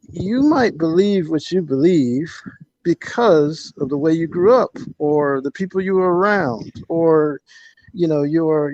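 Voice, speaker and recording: A man with a mellow, slightly high voice talks as a podcast guest, explaining his work as an author. He builds points conversationally, repeating words along the way. The recording is dry and a little dull. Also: no echo. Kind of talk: conversational interview answers.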